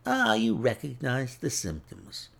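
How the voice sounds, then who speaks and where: A male reader acts out a cruel gentleman villain from a classic detective story. His voice is rough and creaky, with big theatrical swings of pitch. He gloats with mock courtesy and savors each taunt smugly. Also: huge pitch swings, a little slow, full character voice. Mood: sneering menace. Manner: taunting, mock-polite, smug.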